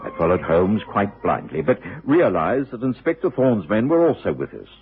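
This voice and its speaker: An actor playing an earnest gentlemanly detective's companion, narrating a radio drama in the first person. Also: male voice, lowish voice, sing-song, moderate pace, background noise. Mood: urgent, dramatic. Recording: muffled old radio recording, music behind.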